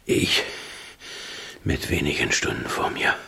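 An actor playing a gruff, defiant dying old king in a radio drama, with weak breath and an imperious, labored delivery. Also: slow pace, sharp tone. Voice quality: gravelly, husky. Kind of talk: German radio drama acting.

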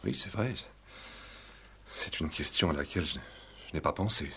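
Nervous gentleman suspect in radio play; very low, rough-edged voice, hesitant and evasive. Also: full character voice. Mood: flustered, evasive. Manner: hesitant, halting, repeats words. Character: nervous, evasive professional man under suspicion. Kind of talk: 1960s French radio play acting.

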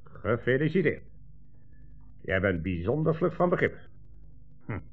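An actor playing a bullying communist police commissioner in a 1960s radio drama, mocking, curt and domineering. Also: male voice, mid-range voice, sing-song, measured pace, slightly rough. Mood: mocking menace. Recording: dull 1960s radio recording, music behind.

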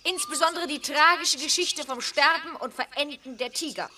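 Animal witness, high voice, earnest, formal testimony, radio play, noisy recording. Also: female voice, moderate pace, pushed and bright. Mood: earnest, grave. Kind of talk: German radio play acting.

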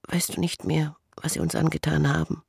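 A man voicing a scarred, obsessive twin brother in a radio drama, insistent and secretive, with a breathy, raspy whisper. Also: fairly high pitch, flat delivery, moderate pace, a little mellow, no echo, studio quality, full character voice. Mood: urgent, secretive. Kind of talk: intimate radio drama acting.

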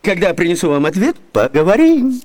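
Comic radio play: sly, cowardly servant, wheedling and exclaiming, very animated melody. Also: male voice, high voice, brisk. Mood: flirtatious, sly. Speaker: actor. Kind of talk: comic radio theatre acting.

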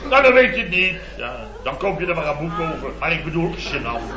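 An actor playing a blustering, indignant middle-aged married contractor, telling a rambling, exasperated comic anecdote in a radio serial. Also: male voice, fairly high pitch, animated, fairly quick, noisy background, natural voice. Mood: sheepish, indignant. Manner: exasperated, rambling, mimics others mockingly. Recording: muffled old radio, echoey, audience laughter.

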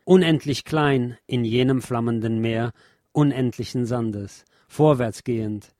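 A man reading scripted documentary narration in a measured, solemn, literary manner. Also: mid-range voice, a little mellow, dry recording, studio quality.

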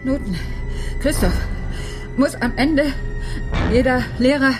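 An actress playing a frightened, pleading female teacher in her forties, halting and distressed, in a radio crime play. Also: high voice. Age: 40s. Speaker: actor in a radio crime drama. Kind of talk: German radio play acting. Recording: music clearly behind the voice.

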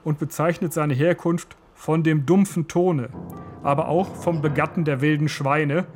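Male radio essayist, lighter higher pitch, scripted narration, measured lecturing delivery. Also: fairly even intonation, a little mellow, soft background music.

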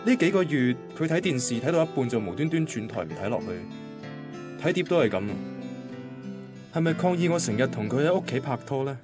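An actor playing an anxious, defensive young boyfriend from a Cantonese radio drama, conversational and grumbling, with a Hong Kong accent. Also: male voice, medium pitch, sing-song, fairly quick, close to an everyday voice. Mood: reflective, uneasy. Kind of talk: radio drama first-person narration and dialogue. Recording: guitar music behind the voice. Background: guitar music.

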